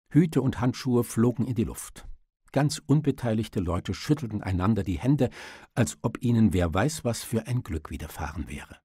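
A man's voice: lowish voice, mellow, husky, no room sound, studio quality. A man reading a literary audiobook as narrator, in a dramatic storytelling style.

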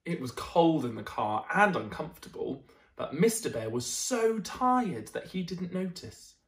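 Expressive young man reading stories, very animated pitch. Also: recorded from a distance. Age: young adult. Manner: expressive, deliberate. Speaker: storyteller reading a bedtime picture book. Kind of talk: animated children's story reading. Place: small indoor room, seated. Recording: roomy indoor echo.

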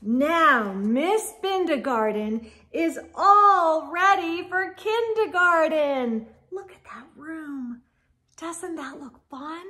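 A middle-aged woman reading a picture book aloud to children in an animated, sing-song storyteller manner. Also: high voice, takes it slowly. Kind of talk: expressive children's read-aloud. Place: indoor room lined with bookshelves.

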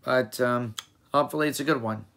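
A hoarse young man hosting a reaction video, rambling and correcting himself as he talks in a small room. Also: medium pitch, fairly quick, a bit bright. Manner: rambling, self-correcting, chatty. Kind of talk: casual reaction commentary.

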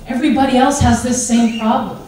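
A middle-aged American woman giving a lively, joking conference talk in a large hotel hall. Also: a little high, fairly quick. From United States. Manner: big, animated, joking delivery. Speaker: conference speaker. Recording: echoey hall, PA from far off.

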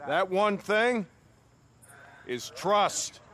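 A man acting a video game survivor camp leader who addresses his people in an emphatic rallying speech with deliberate pauses. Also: sits high, animated, fairly bright tone, heavily acted. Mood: earnest, resolute. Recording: music under the voice. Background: music.

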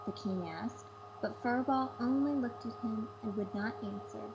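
A woman narrating a fairy tale as a read-aloud storyteller, in measured storybook phrasing. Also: on the high side, lo-fi sound, background noise. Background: music.

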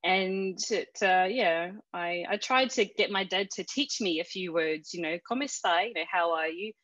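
A woman's voice: lowish voice, unhurried, fairly bright tone, no room sound, highs cut off. An Australian woman telling a personal story in a casual interview, chatty, with many fillers and restarts. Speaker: interview guest.

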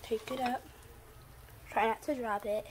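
Teen girl, bright voice, chatty home cooking demo with plenty of fillers, noisy phone recording. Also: fairly high pitch, fairly even intonation, unhurried. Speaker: guest cook. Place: home kitchen. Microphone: handheld phone camera.

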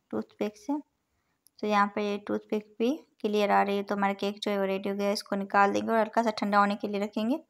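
A woman with an Urdu-influenced accent narrating a recipe step by step as a cooking channel host, calm and instructive as she counts out each measure. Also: medium pitch, gravelly. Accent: Urdu-influenced Hindustani. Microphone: voice-over over overhead cooking shots.

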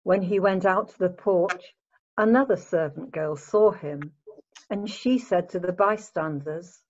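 A woman's voice: fairly low pitch, a little slow. A woman narrating scripture from a script as part of a dramatic reading. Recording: muffled lo-fi home recording.

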